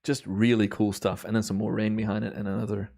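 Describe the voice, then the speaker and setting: A slightly hoarse young man from Belgium, chatting casually with viewers while reviewing his timelapse footage on a live stream. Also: fairly low pitch, quick pace. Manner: relaxed, rambling, chatty with viewers. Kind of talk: relaxed live stream commentary.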